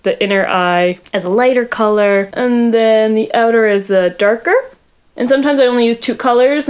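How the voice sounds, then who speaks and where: A young woman with a loud voice chatting casually in her bedroom while doing a makeup tutorial, rambling and poking fun at herself. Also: fairly high pitch. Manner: chatty, rambling, self-deprecating, talks to viewers while working. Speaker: makeup vlogger.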